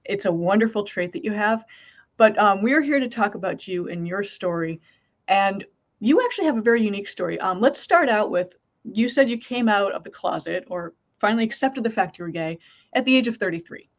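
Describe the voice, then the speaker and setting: A woman's voice: mid-range voice, lively intonation, a little fast. A woman hosting a podcast interview, friendly and encouraging toward her guest. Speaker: host.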